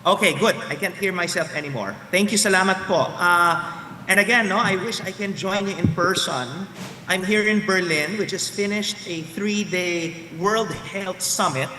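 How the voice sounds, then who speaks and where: A man with a Filipino accent giving a talk over a video call from a hotel room, speaking loudly with many fillers. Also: high voice, moderate pace, slight background noise. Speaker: guest lecturer. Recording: echoey, roomy, distant video call audio.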